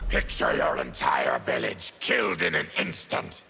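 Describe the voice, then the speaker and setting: A man's voice: low-pitched, huge pitch swings, a little slow, gravelly, loud music behind the voice, exaggerated voice. A man playing a bitter, menacing former army commander in fighting game banter. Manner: menacing, embittered. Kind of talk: video game character banter.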